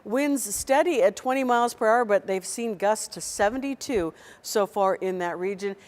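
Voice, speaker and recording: A woman gives a TV weather forecast at a medium pitch. She talks through the conditions in an ad-libbed broadcast presenting style. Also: a little mellow.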